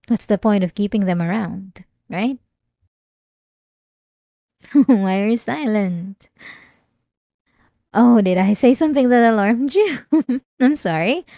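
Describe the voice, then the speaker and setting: A woman playing an obsessive, manipulative therapy patient in an ASMR roleplay, speaking softly and politely with an unsettling, menacing calm. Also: medium pitch, no echo, studio quality. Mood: coldly menacing.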